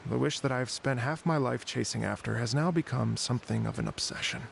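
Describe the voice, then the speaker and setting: A man's voice: medium pitch, fairly quick, gravelly, slight background noise. An actor playing an earnest, wistful middle-aged traveler in a video game, telling his story theatrically and politely.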